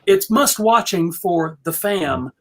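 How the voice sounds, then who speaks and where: A woman on a panel chatting casually about films, halting and often searching for words. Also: fairly low pitch, big pitch swings.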